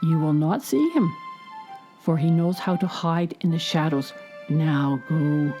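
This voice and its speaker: A woman doing a calm, unsettling owl. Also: a little slow, dull audio, soft background music. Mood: commanding. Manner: low calm commanding tone. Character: unsettling expressionless barn owl, male.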